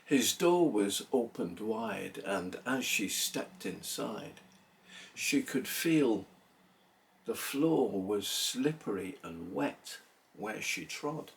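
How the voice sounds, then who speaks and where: Slow, dramatic male poetry reciter. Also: on the low side, lively intonation, vocal fry, distant mic. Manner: theatrical, measured delivery with pauses between phrases. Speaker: poetry reader. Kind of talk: dramatic recitation of a narrative poem.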